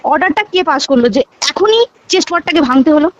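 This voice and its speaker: A woman's voice: high-pitched, lively intonation, fast, a little hiss or hum. A young woman with a West Bengal accent talking casually and emphatically on a recorded phone call, repeating words and checking that her listener follows.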